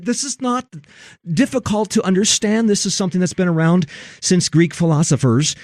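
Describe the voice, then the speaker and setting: A man's voice: fairly high pitch, darkish tone, no room sound, studio quality. An American man hosting a religious talk radio show, speaking emphatically and rhetorically to his listeners.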